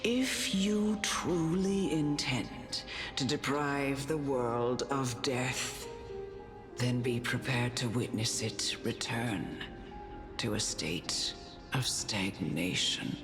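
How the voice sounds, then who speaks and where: Deep, slow, serene noblewoman. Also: full character voice. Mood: solemn warning. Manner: measured, philosophical, formal phrasing. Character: serene, wise noblewoman, a gentle motherly mentor. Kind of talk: scripted fantasy game dialogue. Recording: long reverb, clear music behind.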